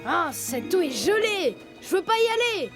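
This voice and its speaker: A woman's voice: very high-pitched, big pitch swings, moderate pace. A woman voicing a playful, loyal young male tiger cub in a children's cartoon dub, with a teasing way of talking. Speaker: dubbing voice actor. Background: music.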